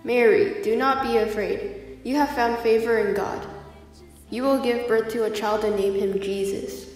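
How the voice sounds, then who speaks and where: A woman's voice: on the high side, steady tone, unhurried, darkish tone, natural voice. A woman playing a solemn angel messenger in a children's puppet play, declaiming reassuringly. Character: angel Gabriel, a solemn heavenly messenger bringing tidings. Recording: echoey hall reverb, distant, faint music.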